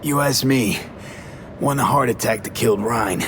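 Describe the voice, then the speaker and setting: A man's voice: mid-range voice, measured pace, a bit bright, a little hiss or hum, close to an everyday voice. A man playing a tough cyberpunk mercenary in a video game, asking questions in a clipped, probing way. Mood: grim, thoughtful.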